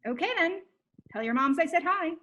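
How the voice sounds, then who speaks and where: An actress reading the part of a chatty, overly helpful suburban mom at a table read. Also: female voice, very high-pitched, lively intonation, fairly quick, bright, forceful tone, heavily acted. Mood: chirpy.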